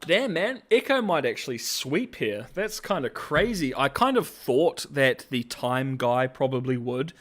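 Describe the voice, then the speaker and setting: A man's voice: mid-range voice, unhurried, few pauses. Chatty young man, laughing, rambling commentary. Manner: animated, laughs often, filler-heavy rambling. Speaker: host. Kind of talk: casual livestream commentary.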